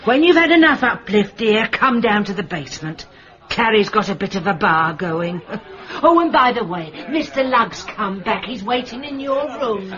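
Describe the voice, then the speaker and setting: A woman's voice: mid-range voice, expressive, normal speed, fairly bright tone, natural voice. An actress playing a gossipy, theatrical, affectionate British woman, effusive and chatty, in a radio drama. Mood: confiding, breezy. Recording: dull, lo-fi radio recording.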